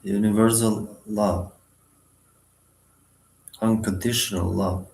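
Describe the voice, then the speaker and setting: A man leading a guided meditation, giving instructions in short, sparse phrases with long pauses between them. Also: on the low side, fairly even intonation, creaky, a little mellow, roomy sound, poor audio.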